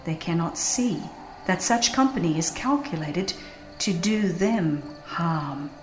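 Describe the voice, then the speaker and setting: A woman reading a religious audiobook as a dramatized narration, with solemn, emphatic and deliberate phrasing. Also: on the low side, lively intonation, reverberant, faint music behind, rough recording.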